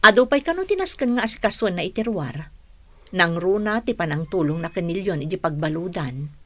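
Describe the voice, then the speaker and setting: A devoted housekeeper in a radio drama, talks in a lowish voice. She is chatty, familiar and deferential to her mistress. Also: expressive, brisk, no room sound. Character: devoted, chatty female housekeeper to a young lady. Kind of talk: radio drama acting in Ilocano.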